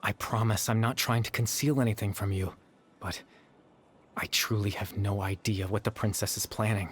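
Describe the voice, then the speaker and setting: A man playing a gentle, sorrowful young man in a video game, speaking in a soft, measured, confessional way. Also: lowish voice, steady tone, slight background noise, close to an everyday voice. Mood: apologetic. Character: gentle, sorrowful young man, a fading remnant consciousness at peace. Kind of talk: scripted video game voice acting. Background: background music.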